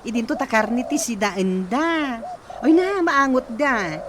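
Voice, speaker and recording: A pushy village vendor talks up her goods on credit in a coaxing sales patter, played by a woman. Her pitch swings widely as she talks. The recording has clear background noise. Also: lively intonation, measured pace. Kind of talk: radio drama acting.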